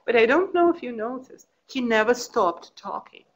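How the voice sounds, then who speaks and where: A woman whose first language is Russian, giving an academic conference talk, pausing often and restarting phrases. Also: on the high side, expressive, rough recording. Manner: thoughtful, with frequent restarts and fillers. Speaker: academic presenter. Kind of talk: conference presentation.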